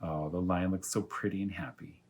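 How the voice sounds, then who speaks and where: A man reading a children's story aloud as a teacher of young pupils, in animated narration. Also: low-pitched, moderate pace, noisy background.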